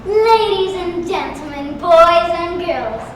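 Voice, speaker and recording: A woman's voice: extremely high pitch, expressive, slow pace, far from the mic, exaggerated voice. A woman acting a naive, scatterbrained, bubbly girl in an amateur stage comedy. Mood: excited, showy. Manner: bubbly, easily confused. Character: naive, excitable, scatterbrained girl auditioning as ringmaster. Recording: very echoey hall from afar, faint noise.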